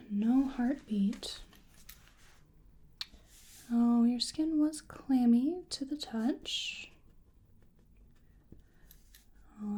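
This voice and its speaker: A woman acting a slow, breathy, whispering researcher. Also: mid-range voice. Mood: curious, careful. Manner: gentle, polite, unhurried, musing to herself. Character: bookish, polite female cryptid researcher examining a creature. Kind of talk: soft-spoken ASMR roleplay. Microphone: close microphone.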